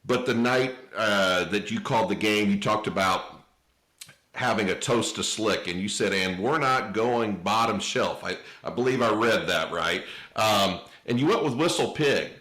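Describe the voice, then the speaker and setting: Male podcast host, lowish voice, halting casual delivery, roomy echo on the recording. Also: sharp tone. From United States, Indiana. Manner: halting, repeats words as he goes. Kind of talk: casual interview talk.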